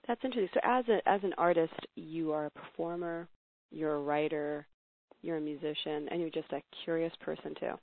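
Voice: mid-range voice, fairly even intonation, a little fast, vocal fry, a little hiss or hum. An interview host asking questions and responding encouragingly with frequent affirmations. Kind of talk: interview hosting. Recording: tinny phone-like line.

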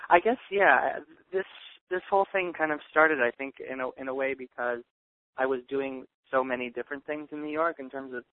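A man's voice: medium pitch, moderate pace, no echo. A young man talking casually as an interview guest, rambling with many fillers and restarts. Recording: tinny phone-like line.

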